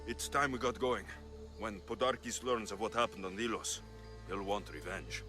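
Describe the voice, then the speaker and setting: An actor playing a stern, impatient mercenary of ancient Greece in a video game cutscene. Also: male voice, on the low side, normal speed, pushed and bright, soft background music. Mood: solemn.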